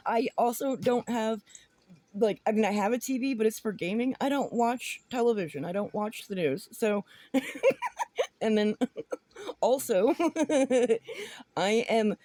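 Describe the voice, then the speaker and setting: A woman's voice: a little high, expressive, slow, long pauses, warm tone, no room sound. A woman talking casually and bluntly in an informal, rambling way, sharing her opinions. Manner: blunt, informal, giggles often between phrases. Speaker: vlogger giving opinions.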